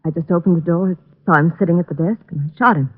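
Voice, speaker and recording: Troubled, defiant girl, husky, vintage radio melodrama, thin phone-like recording. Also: on the low side, fast. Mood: guarded. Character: distraught, defiant young woman holding a grudge. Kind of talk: old-time radio melodrama.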